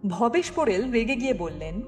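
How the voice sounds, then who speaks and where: A woman's voice: a little high, big pitch swings, a little fast, darkish tone. Woman narrating a thriller audiobook over music. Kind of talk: scripted dramatic story narration.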